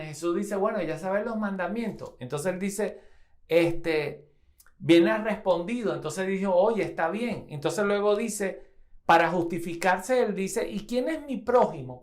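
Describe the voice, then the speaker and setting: A woman from Venezuela preaching a sermon, reading scripture aloud and repeating phrases for emphasis. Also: medium pitch.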